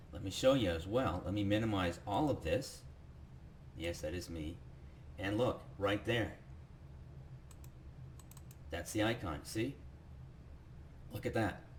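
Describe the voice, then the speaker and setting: Low-voiced man giving an enthusiastic demo, noisy background. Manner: enthusiastic, talks step by step to the viewer. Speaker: software demo presenter. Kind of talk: screen-share product demo, casual sales pitch.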